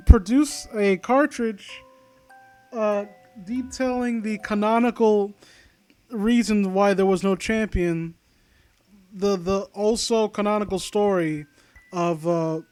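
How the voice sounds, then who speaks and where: A man's voice: high-pitched, darkish tone, smooth. A man reading a story aloud and breaking off into sarcastic, exasperated, profane commentary. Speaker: host reading and critiquing an online horror story. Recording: video game music playing behind. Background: glockenspiel-like video game music.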